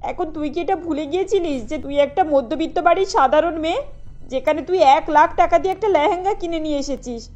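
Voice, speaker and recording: A woman's voice: sits high, brisk, a little mellow, dull audio, lightly acted. A woman playing a sorrowful, scolding middle-class mother in a cartoon, speaking in a plain, reproachful way. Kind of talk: cartoon voice acting.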